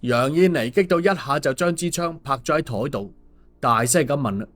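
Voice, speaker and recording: A man's voice: on the high side, lively intonation, moderate pace, fairly bright tone. Male storyteller over music. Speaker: audiobook narrator. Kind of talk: scripted story narration. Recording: music under the voice. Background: background music.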